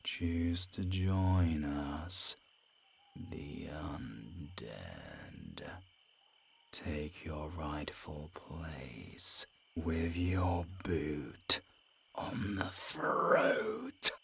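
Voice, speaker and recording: An extremely deep, raspy vampire villain, drawls every taunt very slowly. He is cruel and grandiose, sliding between whispers and roars in a cinematic audio roleplay. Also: expressive, fairly bright tone, soft background music, full character voice. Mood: grandiose gloating. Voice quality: gravelly, rasping, breaking into whispers. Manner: slow, theatrical, taunting delivery with sudden roars.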